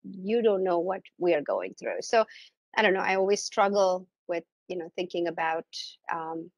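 A woman's voice: lowish voice, expressive, moderate pace, dry recording, dull audio. A middle-aged woman from India asking a question on a video call, hesitant and searching for words. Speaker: audience member asking a question. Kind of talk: informal question and personal account.

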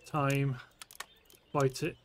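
A man's voice: medium pitch, little pitch movement, extremely slow, a little hiss or hum. A British man giving casual let's play commentary on a strategy game, thinking aloud in short remarks. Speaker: gameplay host.

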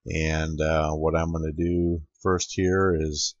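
A man giving an unscripted screen-recording tutorial as an amateur radio operator, explaining step by step in a halting way. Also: very deep voice, little pitch movement, no room sound. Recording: dull, lo-fi home computer recording.

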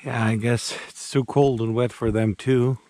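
Slow-talking man vlogging at a campsite. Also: mid-range voice, steady tone, warm tone. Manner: plain, matter-of-fact commentary to camera. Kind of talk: casual camping vlog. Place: campsite, in a tent and out in a snowy field. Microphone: handheld action camera at arm's length.

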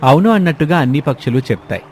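A man's voice: fairly high pitch, lively intonation, fast, a little mellow, a little hiss or hum. A man narrating a scripted children's story as the storyteller.

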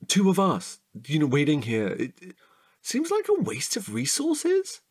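An actor playing a chatty, excitable, needy sidekick in a comic audio drama, rambling eagerly and theatrically. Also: male voice, high voice, unhurried, husky, exaggerated voice. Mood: excited, nerdy.

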